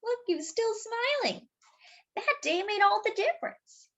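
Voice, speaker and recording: Storyteller, female, unhurried and animated, stops to comment on the pictures. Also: very high voice. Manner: expressive, pausing to point out pictures. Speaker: children's storyteller. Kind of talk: picture book read-aloud.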